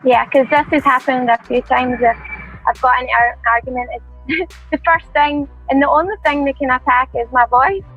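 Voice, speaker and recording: A woman with a soft, quiet, sweet-sounding voice, giving a casual interview answer. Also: high-pitched, moderate pace, slightly rough, dry recording, soft background music, rough recording. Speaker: professional fighter.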